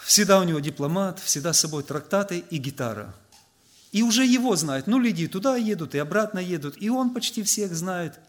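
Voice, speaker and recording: Higher-voiced man preaching with anecdotes. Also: lively intonation, fairly quick. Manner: conversational, anecdotal, frequent filler "vot". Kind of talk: storytelling sermon to a congregation.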